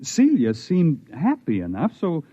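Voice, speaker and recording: An actor playing a wistful, long-stranded spaceship survivor in 1950s radio drama style, telling his tale with measured, dramatic pauses. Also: a little high, wildly swooping pitch, warm tone, no room sound, full character voice. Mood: wistful, uneasy. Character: stranded male spaceship survivor, wistful long-time settler on alien world. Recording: dull, dry vintage 1950s radio recording.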